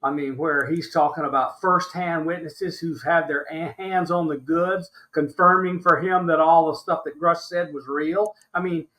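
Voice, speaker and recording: An American man in his sixties with a fairly high voice for a man. He talks as an impassioned podcast guest, exasperated and emphatic, often repeating a phrase to drive it home. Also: fairly even intonation, normal speed, hardly any pauses, bright, forceful tone, low-quality recording. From Alabama, United States. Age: 60s. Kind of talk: impassioned interview commentary.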